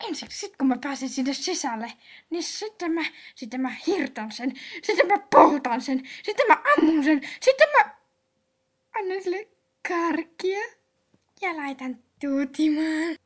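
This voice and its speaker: A woman voicing a frazzled, hot-tempered young guardian in a comedy cartoon dub, excitable and blustering. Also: high voice. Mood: impatient, threatening. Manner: excitable, blustering, foul-mouthed.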